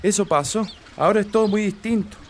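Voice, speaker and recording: A man's voice: high-pitched, fairly quick. A man with a northern Argentine accent playing a blunt, skeptical country man in a radio play. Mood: skeptical, scolding.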